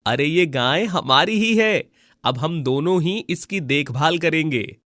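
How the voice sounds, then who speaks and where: Humble young village man, played by a man, cartoon moral tale, higher male pitch, polite earnest manner, dry recording. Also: lively intonation, takes it slowly, bright, forceful tone, no room sound, heavily acted. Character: kind, humble, helpful young village husband. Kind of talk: animated Hindi moral story voice acting.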